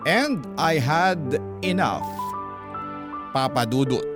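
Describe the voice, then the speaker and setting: A man's voice: fairly high pitch, expressive, slow. A man reading a letter as scripted first-person love story narration on radio. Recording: music bed behind voice. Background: instrumental music.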